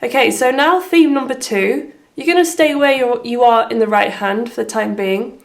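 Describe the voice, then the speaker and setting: A woman with a slightly hoarse voice giving step-by-step piano instruction as the host of a tutorial. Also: a little high, moderate pace. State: slightly hoarse from having been unwell. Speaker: piano teacher and video host. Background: piano playing.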